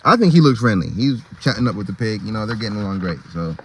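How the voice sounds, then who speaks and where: A man's voice: on the low side, sing-song, quick pace, a little hiss or hum, rough recording. A man reading a picture book aloud, with informal, slangy, chatty asides along the way.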